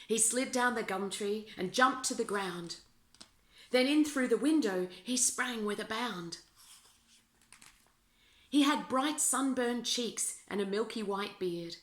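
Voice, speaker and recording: A woman reading rhyming children's verse aloud as an expressive storyteller. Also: fairly high pitch, slow pace. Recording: roomy, echoing indoor sound.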